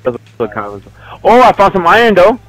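A young man playing along with friends, excitable and quick to swear when surprised. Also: sits high, dry recording, soft background music, rough recording. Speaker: fellow player. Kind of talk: casual gaming chat.

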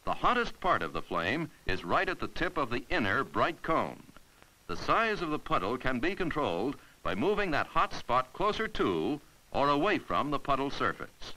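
A man giving scripted instructional narration for a 1950s educational film, patient and clear as he walks through each step. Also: mid-range voice, big pitch swings, normal speed, bright, forceful tone, low-quality recording.

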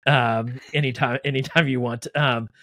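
A man's voice: mid-range voice, fairly even intonation, sharp tone, dry recording. A man in his forties hosting a podcast from a home studio, chatting casually and telling a story into a large studio mic. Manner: affirming, quick backchannels. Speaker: podcast host. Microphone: large studio mic with headphones.

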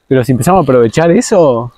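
A man's voice: medium pitch, lively intonation, rapid-fire, mellow, dry recording. A young man with a Rioplatense Argentine accent, chatting casually as an interviewer outdoors. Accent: Rioplatense Argentine Spanish. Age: young adult. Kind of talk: casual chat. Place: outdoors in a garden.

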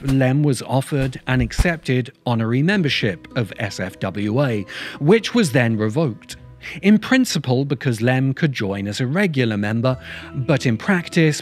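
Middle-aged man, expressive essay narrator. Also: medium pitch. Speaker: host of a science fiction video essay channel. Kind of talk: scripted video essay narration. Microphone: large microphone close in front of him.

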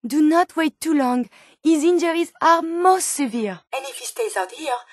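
A high, closely recorded female voice with a French accent, an earnest video game medical robot who is learning to be human. She speaks in formal, carefully chosen words, with open curiosity and tender feeling. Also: normal speed, no echo, studio quality. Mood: concerned, earnest. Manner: precise, formal, expressive diction. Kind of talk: video game character dialogue.

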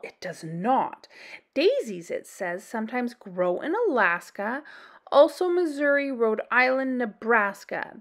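Woman reading as a pompous, measured school principal. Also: a little high. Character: learned, pompous older male school principal. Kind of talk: storybook character voice.

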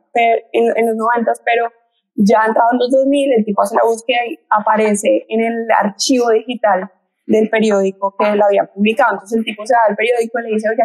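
A woman's voice: a little high, a little mellow, rough recording. A young woman with a Colombian accent, a lawyer and researcher giving an online lecture over a headset mic, explaining patiently with fillers and restarts. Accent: Colombian Spanish. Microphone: headset mic on a video call.

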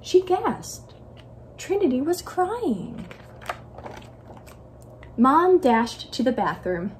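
A young American woman reading a children's story aloud at home as a library storyteller, expressive and carefully enunciated. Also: a little high, a little hiss or hum. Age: young adult. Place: living room at home, indoors.

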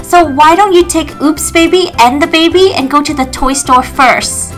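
A woman playing a patient, practical mother in a children's doll story, explaining things gently. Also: high-pitched, normal speed, over loud music, natural voice. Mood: reasonable.

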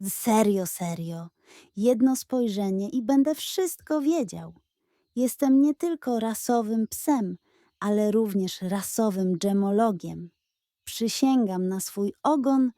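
A woman's voice: mid-range voice, animated, slow pace, dark tone, no room sound, clean studio sound. A woman voicing a gluttonous, theatrical pug dog who pleads and sweet-talks in a children's audio tale. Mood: solemn boasting. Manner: pleading, dramatic, sweet-talking.

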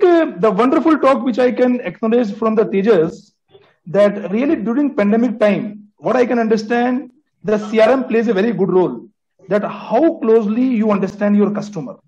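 A man's voice: high voice, a little mellow. A middle-aged man speaking as a panelist on a video call, earnest and reflective. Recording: dull video-call audio.

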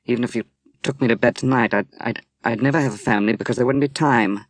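A man voicing a young woman who is drunk, bitter and despairing, with a rising, shrill, emotional delivery. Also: fast, no room sound, lightly acted. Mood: hysterical.

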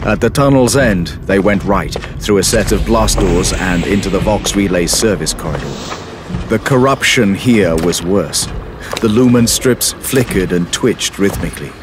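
A man's voice: sits low, moderate pace. A man giving dramatic scripted narration as the storyteller of an audio drama. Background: music.

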